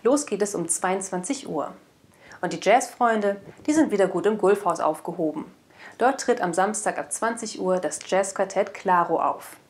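Young woman reading local news, studio lapel mic, medium pitch. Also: normal speed. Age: young adult. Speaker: news presenter. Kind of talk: scripted news presentation. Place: indoor studio.

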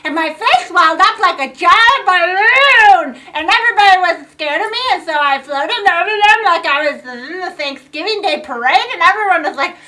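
A woman's voice: very high voice, lively intonation, normal speed, hardly any pauses, sharp tone, heavily acted. A young woman playing a hyperactive, chatty little granddaughter who rambles and gushes in a childlike way in a comedy sketch. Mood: rambling, excited.

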